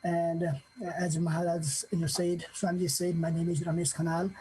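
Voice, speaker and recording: A man in his forties from Nepal, giving an unscripted personal testimony with many pauses, fillers and restarts. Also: a little high, monotone, dark tone, slight background noise, low-quality recording. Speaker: guest sharing a testimony.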